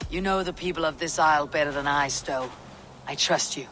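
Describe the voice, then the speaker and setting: An actress playing a commanding, steady female Viking clan leader with a measured, authoritative delivery in a video game. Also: sits low, slight background noise, poor audio. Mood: solemn. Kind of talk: dramatic video game acting.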